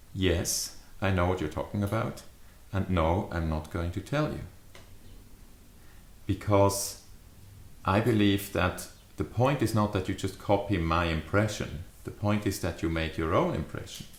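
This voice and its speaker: Man, fifties, fairly low voice, measured delivery, reflective explainer, roomy echoing indoor recording. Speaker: leadership adviser. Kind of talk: reflective explainer talk to camera. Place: small furnished study or living room, indoors. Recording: echoey living room.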